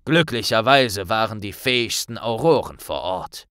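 A man voicing a grave, authoritative middle-aged wizard official with a gruff, deliberate delivery in an audiobook. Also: fairly low pitch, animated, a bit bright, no room sound, clean studio sound.

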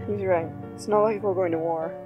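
A woman playing a calm, reassuring young human adventurer, plain and understated, in an audio drama. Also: lowish voice, brisk, dull audio, faint music behind, natural voice. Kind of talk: fan audio drama acting.

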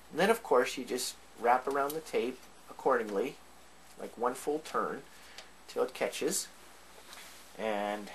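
A man's voice: medium pitch, fairly bright tone. Male demonstrator for informal how-to video. Mid-range voice, explanatory step-by-step delivery, slight background noise. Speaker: demonstrator of vintage tape equipment. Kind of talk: informal hands-on tutorial.

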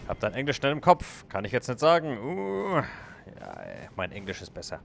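A man's voice: medium pitch, very animated, normal speed, creaky, slight background noise. A man casually commenting on his game as he plays, thinking aloud and chatting with viewers. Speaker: let's play gamer.

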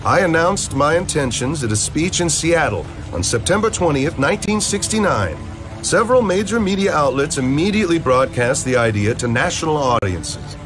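A man's voice: fairly high pitch, animated, moderate pace, lightly acted. A man playing an earnest, determined middle-aged senator in a declamatory, emphatic, scripted comic-book dramatization. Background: music.

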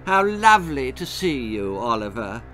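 A sly schoolboy cheat purrs fake charm and mocking lines, as a man reads him. His pitch rises and dips with lively swings, and faint music plays underneath. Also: measured pace, exaggerated voice, rough recording. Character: sly scheming schoolboy rival, smug and spiteful.

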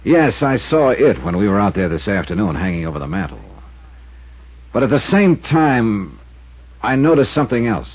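An actor playing a hard-boiled, wry insurance investigator, with an American accent and clipped, dramatic 1950s radio delivery. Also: male voice, fairly low pitch, sing-song, normal speed, husky. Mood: probing. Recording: muffled 1950s radio recording with music.

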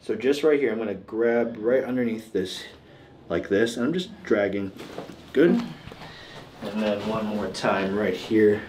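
A man's voice: lowish voice, unhurried, darkish tone, slight background noise. A man in his thirties working as a chiropractor, giving short casual instructions and reassuring check-ins to a patient in a small treatment room. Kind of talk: casual hands-on talk with a patient.

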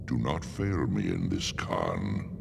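A male actor playing a cold, menacing dark lord in a video game, imperious and threatening, with a gravelly voice. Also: very animated, slow pace, exaggerated voice. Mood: stern command. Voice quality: gravelly, very deep. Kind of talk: video game cutscene acting. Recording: long hall reverb, noisy game capture.

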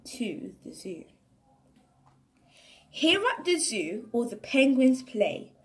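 A woman reads a children's storybook aloud in a fairly high voice that moves freely up and down. She takes her time over each phrase, with an animated, sing-song delivery made for young listeners. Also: slow. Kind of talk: expressive read-aloud of a children's picture book.

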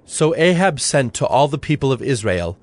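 A man narrating scripture from a script in a measured, solemn way. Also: mid-range voice, sing-song. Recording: music under the voice.

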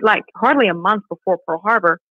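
A woman's voice: mid-range voice, fairly quick, husky, no room sound. Woman on a muffled remote call. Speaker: podcast guest, nonprofit director. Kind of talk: conversational interview, reading a family letter. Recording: muffled, band-limited remote call audio.

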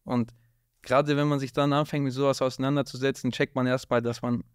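Man, animated storyteller, dry close studio mic, mid-pitched. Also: quick pace, clean tone, no room sound. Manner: street slang, constant "Bruder", animated storytelling. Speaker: guest, rapper. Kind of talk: casual interview storytelling. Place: dark studio with blue lights. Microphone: studio mic on boom arm.

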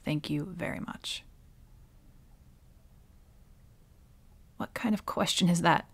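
A woman playing a centuries-old villainess witch in an ASMR audio roleplay, wry and bitter with a reluctant tenderness, often slipping toward a breathy whisper. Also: fairly low pitch, no echo. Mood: dry, sardonic. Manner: slow, sardonic, dropping often into breathy whispers. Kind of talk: ASMR dramatic roleplay monologue.

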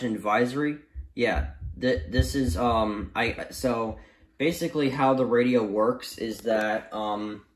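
A man giving a casual, unscripted review in a halting, repetitive and emphatic way, recorded in a room at home. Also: mid-range voice. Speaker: vlogger reviewing gadgets. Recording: roomy, echoey home recording.

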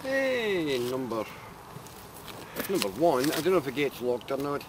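An older man with a Scottish accent, a local farmer chatting casually outdoors, plain-spoken and trailing off quietly. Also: medium pitch, big pitch swings, unhurried, rough recording. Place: outdoors on a farm road at dusk. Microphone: handheld camera mic. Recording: outdoor camcorder audio with background noise.

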